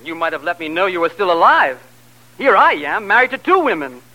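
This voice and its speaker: High-pitched, flustered, excitable husband. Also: male voice, fairly quick, heavily acted, rough recording. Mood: flustered, indignant. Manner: blustering, exclamatory, easily flustered. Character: flustered, romantic, excitable newlywed husband caught between wives. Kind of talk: 1940s radio comedy acting.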